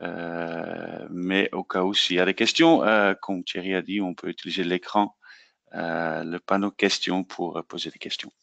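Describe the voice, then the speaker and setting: A man's voice: deep voice, steady tone, moderate pace, vocal fry, a bit bright. A man with a light Dutch accent, speaking French as a second language, presenting hesitantly in a sales webinar. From Netherlands. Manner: hesitant, filler-heavy French. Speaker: sales manager. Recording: dull lo-fi webinar audio.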